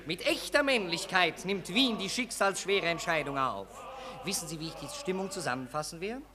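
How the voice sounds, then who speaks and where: A man's voice: high-pitched, lively intonation, normal speed, noisy background. A man playing a pompous, self-important, cynical Viennese newspaper reporter in a 1940s German radio play, declaiming emphatically. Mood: grandiloquent.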